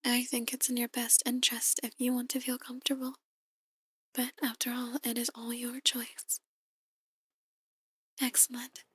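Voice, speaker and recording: A woman playing a soft-spoken, ominous demon tarot reader in an ASMR roleplay, speaking in a measured, breathy near-whisper. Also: on the high side, monotone, no room sound. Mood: calm, inviting, then hushed, foreboding. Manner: slow, measured, gently ominous. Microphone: close, dry microphone.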